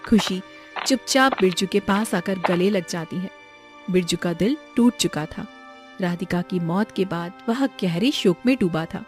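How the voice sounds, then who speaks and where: A woman's voice: on the low side, unhurried. A woman reading a scripted moral story for children as its narrator. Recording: music behind the voice. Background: background music.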